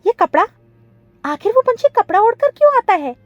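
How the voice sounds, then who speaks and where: A woman's voice: very high-pitched, big pitch swings, moderate pace, no echo, dull audio, soft background music, voice pushed up. A woman voicing a small, protective female bird in a cartoon story, exclaiming emphatically. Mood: puzzled.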